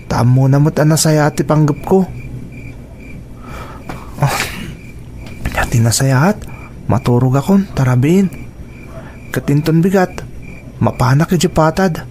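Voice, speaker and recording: A man's voice: a little high, darkish tone, a little hiss or hum, lightly acted. A man with a Filipino accent acting an earnest, determined young son from a poor family in an audio drama.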